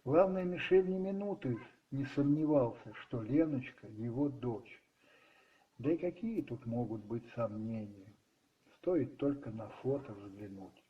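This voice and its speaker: A man narrating a radio play as a storyteller, expressive and reflective, with pauses and musing asides. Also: mid-range voice. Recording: slightly muffled recording with music underneath.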